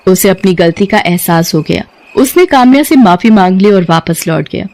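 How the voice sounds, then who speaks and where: A woman's voice: mid-range voice, lively intonation, normal speed, a little mellow, a little hiss or hum. A woman working as a narrator, reading a scripted story.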